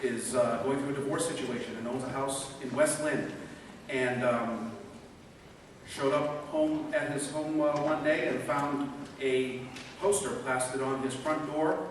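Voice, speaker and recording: A man giving earnest, persuasive testimony as a public commenter at a city council meeting. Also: fairly high pitch. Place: large council chamber indoors. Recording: echoey council chamber from far off, noisy.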